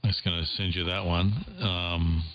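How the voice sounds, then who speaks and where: A man's voice: sits low, level tone, normal speed, no echo, highs cut off. A man talking casually as an amateur radio operator, thinking aloud and repeating phrases as he goes. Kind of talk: casual ham radio contact.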